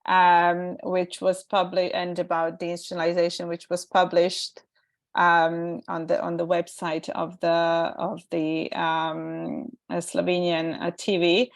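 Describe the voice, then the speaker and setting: Woman, Croatian accent, slightly low voice, webinar moderator, informal, hesitant, self-rephrasing. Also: completely flat delivery, measured pace, gravelly. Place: online webinar call.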